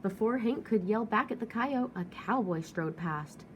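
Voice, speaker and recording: A woman reading a children's storybook aloud as an expressive narrator. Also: medium pitch, a little slow, a little hiss or hum.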